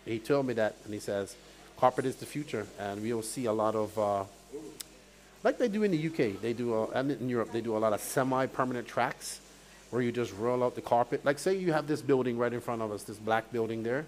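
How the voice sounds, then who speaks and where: A man's voice: mid-range voice, fairly quick. A man from Bermuda with a Bermudian accent, working as a race commentator and chatting casually with live-stream viewers. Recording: clear background noise, outdoor event ambience.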